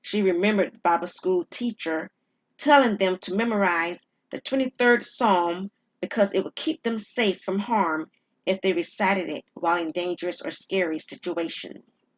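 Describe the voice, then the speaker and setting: A woman's voice: lowish voice, normal speed, muffled recording. Woman narrating a suspense story. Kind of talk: dramatic scripted story narration.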